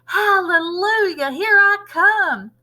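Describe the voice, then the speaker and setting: A woman voicing a scrawny, cantankerous ancient grandmother with a screechy voice, shouting in a gleeful, bossy way. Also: extremely high pitch, expressive, slow pace, pitched above the performer's own voice, heavily acted. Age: older.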